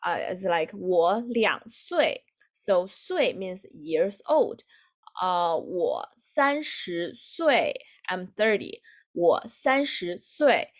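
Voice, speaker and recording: A woman in her twenties with a Chinese accent and native Chinese, teaching an online language lesson haltingly, repeating phrases for learners. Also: on the low side, sing-song. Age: 20s. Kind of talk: online lesson, explaining with examples.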